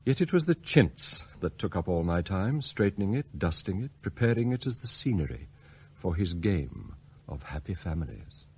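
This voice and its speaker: An actor playing a sardonic, scheming English butler in a radio drama, formal and articulate with theatrical flourishes. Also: male voice, sits low, sing-song, normal speed, a little mellow, slight background noise. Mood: wistful, disdainful. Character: eloquent, scheming male butler, sardonic and idealistic.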